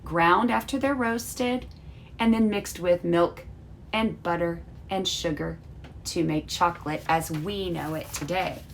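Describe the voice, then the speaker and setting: A woman teacher reading a storybook aloud and explaining it to children in a deliberate, instructive way with drawn-out emphasis. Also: on the low side, vocal fry. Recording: faint background noise.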